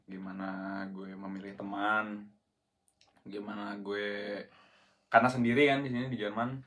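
A man's voice: on the low side, level tone, measured pace, a bit bright. A young man from Indonesia, around twenty, chatting casually as a podcast co-host in slangy, rambling speech full of restarts. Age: young adult. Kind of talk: casual chat.

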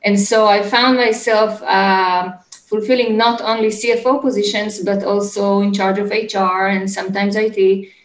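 A middle-aged woman with an Israeli accent, answering interview questions conversationally in a halting, searching way. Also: medium pitch, steady tone, reverberant, rough recording. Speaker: interview guest, startup executive. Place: home office, remote call.

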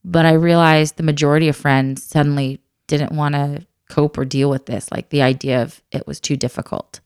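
Woman, thirties, low voice, smooth tone, dry close sound, earnest, reflective. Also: fairly even intonation, normal speed, no echo. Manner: earnest, reflective, soft-spoken. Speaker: adoptive mother and guest. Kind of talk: candid conversational chat.

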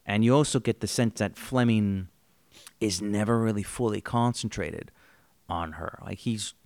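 A man's voice: on the low side, a little slow, darkish tone, dry recording. A man co-hosting a podcast, chatting casually about a miniseries, rambling and joking with frequent laughs. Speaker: podcast co-host. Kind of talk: casual chat reviewing a miniseries.

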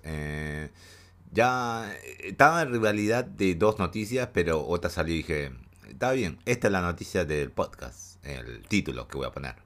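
A man's voice: rumbling low, fairly bright tone. A man hosting a casual gaming news podcast, chatty and hesitant, with a head cold and a stuffy nose. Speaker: podcast host.

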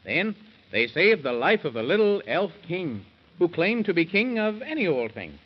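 Animated high-pitched man narrating in rhyme. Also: normal speed, breathy edge. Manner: sing-song, theatrical rhyming delivery. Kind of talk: rhymed 1930s radio bedtime storytelling. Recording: muffled 1930s radio recording.

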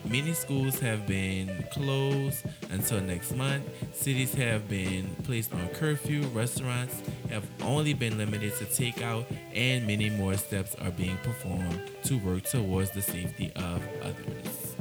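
A man's voice: fairly low pitch, unhurried, hardly any pauses, over loud music. A man co-hosting a casual gossip podcast, reading celebrity news aloud with laughing asides. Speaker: podcast co-host.

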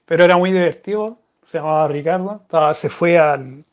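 High-voiced chatty Chilean man. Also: a little fast. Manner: rambling, restarts often, chatty and friendly. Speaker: vlogger answering viewer questions. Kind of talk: casual chat to camera.

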